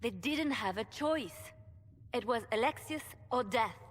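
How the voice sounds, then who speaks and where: An actress playing a compassionate, indignant adviser in a video game, with a slightly husky voice and reproachful, intent delivery. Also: female voice, fairly high pitch, expressive, unhurried, in a large hall. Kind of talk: video game cutscene acting.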